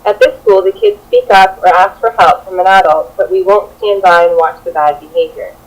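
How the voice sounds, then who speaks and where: A woman's voice: lowish voice, lively intonation, normal speed, low-quality recording. A young adult woman giving a prepared classroom presentation as a student teacher, partly reading. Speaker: student teacher presenting a children's book project.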